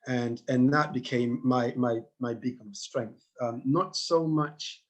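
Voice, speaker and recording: A middle-aged man from Tanzania, a panel guest telling his own story earnestly, halting and repeating words, over a video call. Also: mid-range voice, highs cut off. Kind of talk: personal testimony on a panel.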